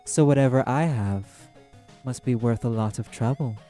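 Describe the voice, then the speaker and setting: Man voicing a proud, mellow ancient demon boss. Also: medium pitch, soft background music, natural voice. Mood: sly, bargaining. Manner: haughty, measured, drawling, theatrical. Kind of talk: scripted audio roleplay monologue.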